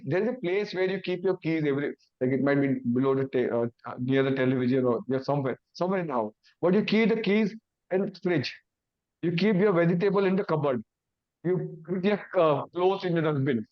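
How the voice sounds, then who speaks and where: Man, Indian English accent, native Hindi speaker, simple repeated phrases, dull muffled recording. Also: a little high. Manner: explains in simple repeated phrases, acting out confused thoughts. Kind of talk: awareness talk with everyday examples.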